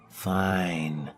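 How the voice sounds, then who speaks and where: A man's voice: low-pitched, a bit bright, heavily acted. An actor playing a sullen, sarcastic teenage boy in a horror audio drama, with a dry, grudging delivery. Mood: reluctant.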